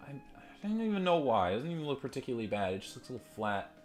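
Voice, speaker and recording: A man's voice: sing-song, quick pace, darkish tone, faint music behind. A man reviewing toys in a casual, rambling, self-deprecating way, swearing offhand. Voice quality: deep voice. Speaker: toy reviewer. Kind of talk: casual unboxing chat. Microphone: desk microphone and phone mic.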